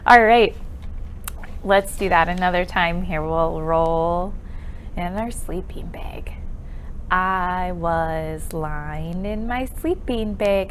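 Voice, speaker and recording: A woman hosting an interactive children's storytime with sing-along rhymes, speaking expressively and encouragingly, asking questions and leaving pauses for answers. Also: mid-range voice, a little hiss or hum. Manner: expressive, exaggerated delivery for young children.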